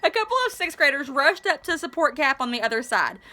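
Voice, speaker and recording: A woman teacher reading a story aloud expressively, with an animated, informal manner. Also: high voice, fairly quick. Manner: animated, informal asides to listeners.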